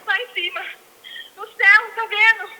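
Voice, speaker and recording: A woman playing a terrified, breathless caller who pleads in halting, repeated phrases in an analog horror film. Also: very high-pitched, fairly even intonation, sharp tone, heavily acted. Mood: frantic, entranced. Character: terrified, breathless woman calling emergency line, entranced. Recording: echoing, reverberant phone call.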